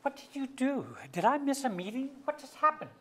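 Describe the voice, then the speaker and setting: A man playing a wry, rambling middle-aged suburban husband in a staged play reading, with a husky voice and mock-grand delivery. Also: very high-pitched, animated, brisk, sharp tone, exaggerated voice. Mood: baffled, put out.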